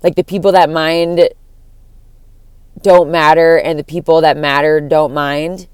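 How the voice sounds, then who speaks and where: A young woman from Florida with a deep voice that cracks at times, musing on a casual solo podcast in a rambling, profane way full of fillers. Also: level tone, measured pace, smooth, dry recording, studio quality. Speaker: podcast host.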